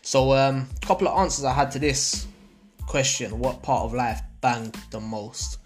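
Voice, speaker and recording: A man's voice: mid-range voice, a little slow, soft background music. A young man hosting a podcast in laid-back slangy banter, laughing often. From Portugal. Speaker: podcast host. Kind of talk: casual podcast chat.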